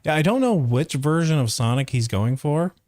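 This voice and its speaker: A man's voice: on the high side, lively intonation, normal speed, darkish tone. A man giving casual, hesitant stream commentary, thinking aloud as he reviews a dub. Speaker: voice actor reviewing a fandub.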